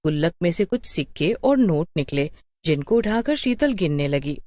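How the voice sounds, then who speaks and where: A woman narrates a scripted story in a slightly low voice with lively rises and falls. The sound is close and dry. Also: moderate pace, no room sound.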